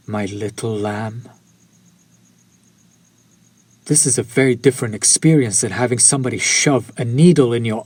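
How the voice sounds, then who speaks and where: A man's voice: mid-range voice, darkish tone, husky, dry recording. A man playing a courtly, centuries-old vampire in an intimate audio roleplay, speaking to the listener with formal, old-fashioned politeness. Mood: gentle, concerned.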